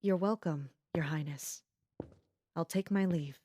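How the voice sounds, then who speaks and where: A woman playing a composed, perceptive castle guard captain in an audio drama, calm, formal and matter-of-fact. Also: deep voice, a little slow, a little hiss or hum. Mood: formal, dutiful.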